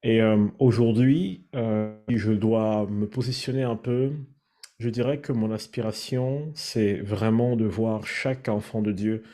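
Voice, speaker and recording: A man from Cameroon, sharing his personal story in a thoughtful, measured way. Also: fairly low pitch, fairly even intonation, warm tone. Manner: thoughtful, measured, searching for words. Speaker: guest sharing his testimony. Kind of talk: reflective personal testimony.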